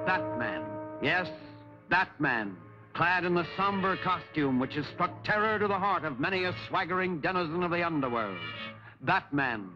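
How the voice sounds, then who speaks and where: A vintage band-limited soundtrack carries a grandiose American serial announcer, pitched high over prominent music. He declaims every phrase with urgent drama in 1940s style. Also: highs cut off. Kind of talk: 1940s movie serial narration. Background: loud orchestral music.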